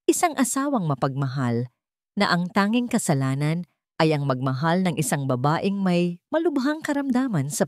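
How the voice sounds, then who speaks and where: A woman's voice: low-pitched, darkish tone, dry recording, studio quality. A woman voicing an arrogant male defense attorney who pleads grandly to a jury in a drama story.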